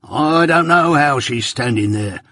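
A man's voice: medium pitch, lively intonation, unhurried, sharp tone, no echo, voice pushed up. A man voicing a dying, ghost-haunted male convict who raves in frightened rustic dialect. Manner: terrified, raving pleas in rustic dialect.

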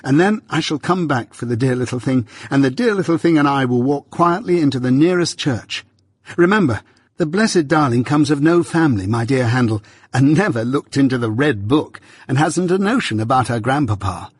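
A man reading aloud, voicing an affectionate young Victorian gentleman who speaks eagerly and cordially to his friend in a raised, bright voice. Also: mid-range voice, lively intonation, normal speed, slightly rough, no room sound, clean studio sound, voice pushed up.

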